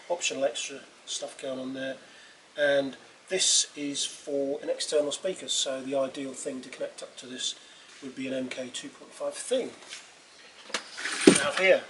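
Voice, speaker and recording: Halting man, noisy recording. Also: medium pitch, fairly bright tone. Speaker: presenter demonstrating portable PA equipment. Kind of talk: casual product demonstration. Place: small wooden cabin with doors open. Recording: clear background noise, open-room ambience.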